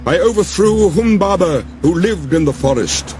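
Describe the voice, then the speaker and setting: A man's voice: high-pitched, lively intonation, normal speed, pitched above the performer's own voice. Man acting a raised-voiced, defiant hero-king. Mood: angry, threatening. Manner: loud, declamatory, impassioned. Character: weary, defiant hero-king, raging and desperate. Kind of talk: dramatized epic audiobook. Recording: music behind the voice.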